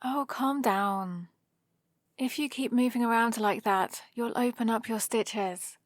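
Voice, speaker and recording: Woman playing a slow-talking, haughty British villainess. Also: fairly high pitch, dry recording. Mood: teasing, imperious. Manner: dry, sardonic, imperious. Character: haughty female supervillain, teasing, commanding, grudgingly caring. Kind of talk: ASMR roleplay, acted monologue to the listener. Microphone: close microphone, dry.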